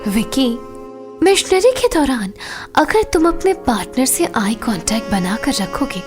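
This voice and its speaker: A woman playing a seductive, patient wife who teaches in a coaxing way, in a Hindi audio drama. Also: on the high side. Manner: slow, breathy, coaxing delivery. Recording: music behind the voice.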